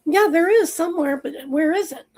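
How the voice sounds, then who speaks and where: A woman hosting a livestream, chatting casually and rambling with viewers while her voice is going hoarse. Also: very high voice, fairly quick, a little mellow. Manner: chatty, rambling, lots of fillers. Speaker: livestream host. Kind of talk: casual chat with live chat viewers.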